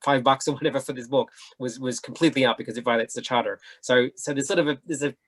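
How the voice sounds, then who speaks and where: A man speaking conversationally as a panelist in a casual discussion, animated and often checking in with the listener. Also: mid-range voice, fast talker.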